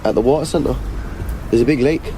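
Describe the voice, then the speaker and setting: A man's voice: mid-range voice, fairly quick, close to an everyday voice. A male actor playing a sullen teenage schoolboy in a naturalistic radio drama, terse, slangy and sarcastic. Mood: loosening up. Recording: noisy outdoor traffic ambience. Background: vehicles, outdoor ambience.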